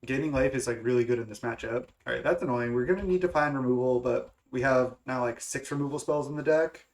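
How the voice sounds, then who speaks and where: A man's voice: mid-range voice, fast talker. A young man with a stuffy, congested voice, casually talking through his card game choices and thinking aloud with lots of fillers. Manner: thinking aloud, hesitant with many fillers and restarts. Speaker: gaming content creator.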